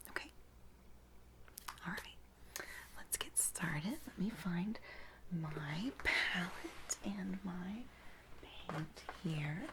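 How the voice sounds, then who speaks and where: A woman doing a soft-spoken ASMR roleplay as a makeup artist, breathy and whispered, giving gentle, reassuring instructions. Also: lowish voice, a little hiss or hum.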